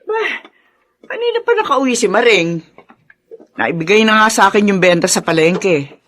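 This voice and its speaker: A woman's voice: medium pitch, very animated, normal speed, a bit bright, a little hiss or hum. A woman playing a stern, protective middle-aged widowed mother in a Filipino family drama, speaking insistently and with emotion. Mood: suspicious.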